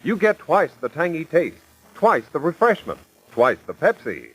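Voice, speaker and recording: Animated old-radio announcer man. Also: fairly high pitch, normal speed, slightly rough, rough recording. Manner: polished salesman delivery.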